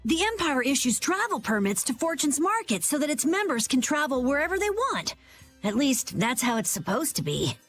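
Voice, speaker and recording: A woman playing a brisk, plucky young female adventurer in a video game, blunt and quick to scold. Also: high-pitched, big pitch swings, fairly bright tone, soft background music. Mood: matter-of-fact. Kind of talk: video game cutscene voice acting.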